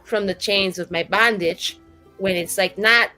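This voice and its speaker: A woman's voice: mid-range voice, steady tone, a little slow, sharp tone, soft background music. A woman playing an irreverent elf mage held captive in a tower, rambling in casual, sweary modern slang for a tabletop roleplaying game. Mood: sardonic, exasperated. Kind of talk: improvised in-character roleplay, casual modern speech.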